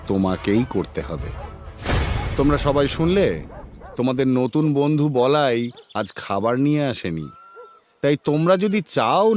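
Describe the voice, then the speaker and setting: An actor voicing a strict older teacher in a cartoon, speaking sternly in a measured, lecturing manner. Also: male voice, mid-range voice, natural voice. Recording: music playing behind the voice.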